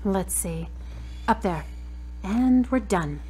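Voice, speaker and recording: A woman's voice: mid-range voice, expressive, takes it slowly, mellow, a little hiss or hum. A woman playing a hurt, headstrong young woman in an audio drama, speaking frankly and earnestly. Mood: businesslike. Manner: frank, searching.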